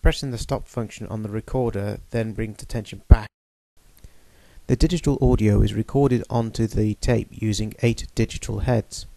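A man giving a measured, technical demonstration of a studio machine. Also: lowish voice, steady tone, gravelly, dark tone, dry recording, poor audio. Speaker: equipment demonstrator. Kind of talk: explanatory voice-over demonstration.

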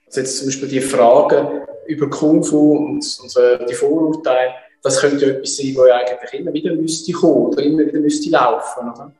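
A man's voice: mid-range voice, steady tone, brisk, few pauses, darkish tone. A man in his forties with a Swiss German accent, asking questions as a casual interview host. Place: indoor martial arts training room. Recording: echoey room reverb, music behind.

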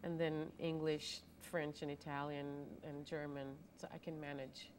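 A woman's voice: low voice, unhurried, a little hiss or hum. A woman in her thirties whose first language is Hebrew, an opera singer talking candidly and with animation as a guest in a TV studio interview. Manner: candid, animated, laughs easily.

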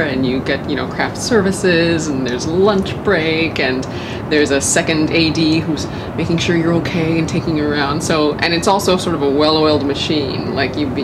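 A woman in her thirties talking casually and animatedly in an interview on an outdoor balcony, filmed from a few feet away. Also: low voice. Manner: animated, gestures a lot while talking. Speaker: actor interviewed. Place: outdoor balcony overlooking a city. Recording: loud background noise outdoors.

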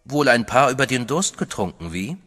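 A man's voice: medium pitch, lively intonation, moderate pace, fairly bright tone, natural voice. An actor playing a tall, grim, smug man who mockingly brushes others off in a horror radio play. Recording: long hall reverb, faint music.

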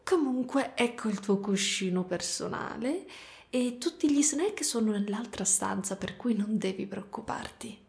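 A woman voicing a gentle, doting spider-woman caretaker in a soft, cooing ASMR roleplay. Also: medium pitch, lively intonation, unhurried, vocal fry. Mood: playful, caring. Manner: soft, cooing, tender, sometimes whispering.

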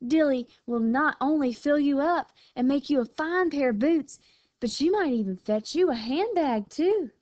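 A woman's voice: high voice, lively intonation, normal speed, darkish tone, no echo, lo-fi sound, voice pushed up. A woman voicing a vain, fussy female armadillo in a storybook, with prim, emphatic sass. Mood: sassy, persuasive.

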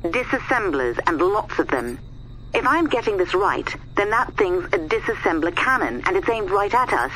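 A female military science adviser in a video game. Her voice is bright and lively in pitch, with precise, urgent explanations. Faint noise sits on the comms-like recording. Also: normal speed, low-quality recording. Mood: grave. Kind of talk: scripted video game voice acting.

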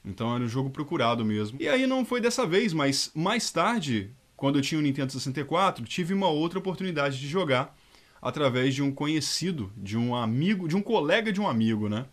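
A man, a fan dub voice actor chatting in a lively, nostalgic way to camera. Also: on the high side, brisk. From Rio de Janeiro state, Brazil. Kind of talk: casual nostalgic testimonial. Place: dark room indoors.

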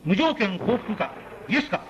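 A man narrating a 1940s newsreel in a solemn, measured, declamatory delivery, on a scratchy old soundtrack. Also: high-pitched, big pitch swings, muffled recording.

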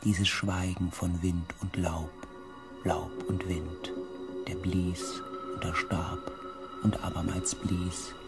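A man playing a reflective expedition member, narrating his report in a measured, literary way in a science fiction radio play. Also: low-pitched, level tone, creaky, exaggerated voice. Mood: somber, reflective. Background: music.

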